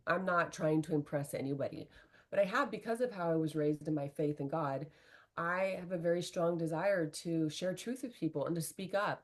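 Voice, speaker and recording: Middle-aged woman, low-pitched, relationship coach; earnest, candid interview talk. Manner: earnest, animated, fillers like "right?".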